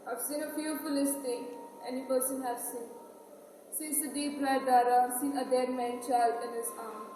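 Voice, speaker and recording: Woman acting mournful old mother, echoing high voice. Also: steady tone, background noise, natural voice. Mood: stricken, mournful. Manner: mournful, lamenting, deliberate. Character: grief-stricken old Irish mother. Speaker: stage actor. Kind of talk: college one-act stage play, declaimed. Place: stage in a large hall. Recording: echoey hall from far off, music behind.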